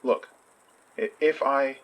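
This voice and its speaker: An actor playing an earnest, insecure friend in a naturalistic audio drama, talking in a tentative, halting, slightly husky voice. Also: mid-range voice, animated, dull audio. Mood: hurt, uncertain. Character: earnest, insecure friend shyly hoping for a date.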